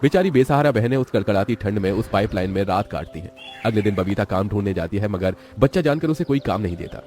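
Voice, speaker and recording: A man narrates a children's moral story with dramatic delivery. His voice is fairly low and he moves along quickly over faint music. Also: expressive, darkish tone.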